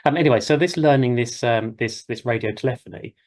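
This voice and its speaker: A man's voice: medium pitch, brisk, a little mellow. A British man giving an informal classroom talk as a flying instructor, rambling and hesitant but encouraging. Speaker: helicopter flying instructor and session host.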